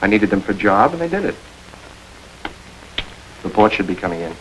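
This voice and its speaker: An actor playing a calm, self-satisfied male gang boss in a 1930s crime film. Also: lowish voice, a little fast, a little hiss or hum, rough recording. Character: smooth-talking male gang boss planning a heist.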